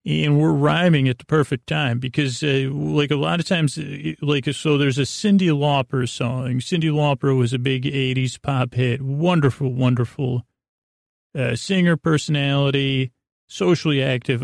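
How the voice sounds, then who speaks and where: Middle-aged male podcast host, gravelly and creaky, goofy halting bedtime rambler, close dry sound. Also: medium pitch, fairly even intonation, dry recording. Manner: meandering, halting, repeats himself, goofy.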